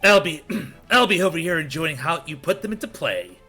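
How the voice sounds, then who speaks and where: A man's voice: a little high, expressive, normal speed, sharp tone, faint music behind. A man voicing a cocky, taunting rival in a video game fan dub, mocking and breezy. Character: cocky, taunting male rival named Knight.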